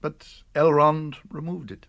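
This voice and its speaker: A British man, an older actor playing a wise, grave but kindly old wizard in a radio drama, with a measured, authoritative delivery. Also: a little high, steady tone, gravelly, a bit bright, no room sound. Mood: grave, caring.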